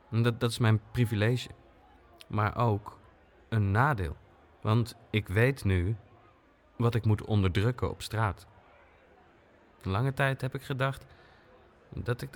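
An actor playing an earnest young queer activist, performing a hesitant, deliberate spoken-word monologue in an audio drama. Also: male voice, fairly low pitch, slight background noise, lightly acted. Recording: music playing behind the voice.

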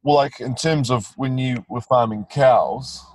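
A man's voice: medium pitch, measured pace, a little mellow, no echo. A man, a field trip teacher hosting a web conference from inside a vehicle, talking in a loose, friendly way with fillers and restarts. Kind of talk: casual hosted web conference.

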